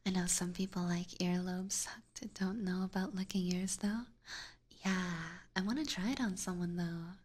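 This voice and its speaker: A woman doing casual ASMR chat in a soft, breathy whisper close to a binaural mic, informal and hesitant, chatting to viewers. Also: lowish voice, fairly even intonation, normal speed. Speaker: ASMR streamer and host. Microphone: close binaural ear microphone.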